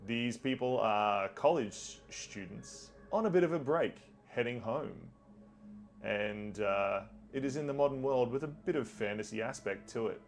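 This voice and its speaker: A man's voice: lively intonation, unhurried, soft background music. A man hosting a tabletop game as game master, chatting casually with a playfully theatrical manner. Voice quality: deep, gravelly.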